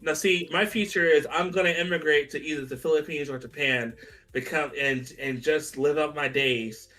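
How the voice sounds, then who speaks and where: A man's voice: fairly high pitch, fairly even intonation, normal speed, sharp tone, low-quality recording. A man in casual group banter, profane and mock-indignant, with music playing behind him.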